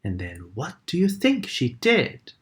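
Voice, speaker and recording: A woman reading a bedtime story aloud to children, gentle and animated. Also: sits low, a little slow. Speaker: storybook reader.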